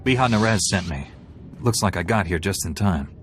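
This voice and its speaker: Serious space warrior, dry, curt, blunt, lowish voice, faint noise behind. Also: male voice, animated, moderate pace. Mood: stern, dismissive. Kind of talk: video game dialogue acting.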